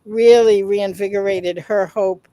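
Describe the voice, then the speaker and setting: A woman's voice: mid-range voice, fairly even intonation, measured pace, warm tone, dry recording. A woman co-hosting a casual online panel, enthusiastic as she reads out viewer comments. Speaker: webinar co-host.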